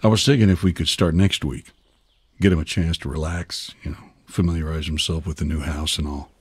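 A man playing a gruff but polite middle-aged police detective in a video game, with a husky voice. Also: rumbling low, steady tone, brisk. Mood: businesslike, polite. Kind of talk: video game cutscene acting.

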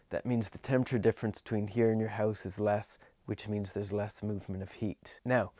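A man's voice: medium pitch, a little mellow, no room sound. A middle-aged man giving an instructional walkthrough in a small unfinished basement, explaining with gestures while a camera records him from a few steps away. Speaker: home energy auditor. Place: small unfinished basement with concrete block walls.

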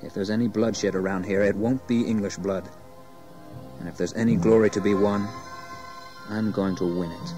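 Proud colonial gent man acting, radio drama, lowish voice, formal and set on glory, faint music. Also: fairly even intonation, moderate pace, pauses often, lightly acted. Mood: defiant, ambitious. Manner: formal, resolute. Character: proud, ambitious colonial gentleman, hungry for war glory.

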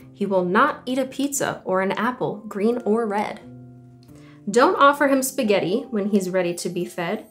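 Casting: young woman, slightly high-pitched, animated kids' picture-book reader, faint music behind. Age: young adult. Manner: animated, clearly enunciated storytelling with questions to young listeners. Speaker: children's story time host and reader. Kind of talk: reading picture stories and poems aloud to children. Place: small indoor room at home.

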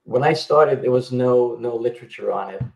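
A man's voice: mid-range voice, normal speed, creaky, darkish tone, echoey room, rough recording. A middle-aged man from Yugoslavia, a healer guest explaining his work over a video call, with many fillers and restarts. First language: Hungarian. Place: indoor home room.

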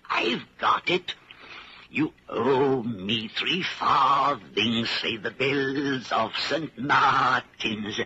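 An actor playing an elderly widowed shopkeeper, chatty, wistful and deferential, rambling politely in 1940s radio drama style. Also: male voice, on the low side, sharp tone, full character voice. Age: older. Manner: rambling, hesitant, polite old-timer's talk. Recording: muffled, hissy 1940s radio recording.

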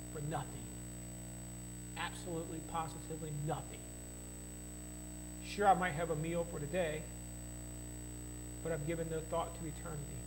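Middle-aged male pastor, high-pitched, dynamic pulpit delivery, podium mic, hummy recording. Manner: preaching with big rises in volume on key phrases. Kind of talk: sermon. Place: church hall with projector screen and podium. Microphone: podium microphone. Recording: mains hum behind the voice.

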